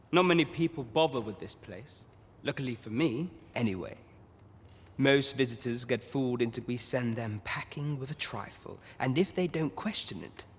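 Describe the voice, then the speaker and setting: An actor playing a wily, self-assured master of thieves in a video game, husky and speaking in sly, archaic turns of phrase. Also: male voice, medium pitch, lightly acted. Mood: wry. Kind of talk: video game character dialogue. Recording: long reverberant hall echo.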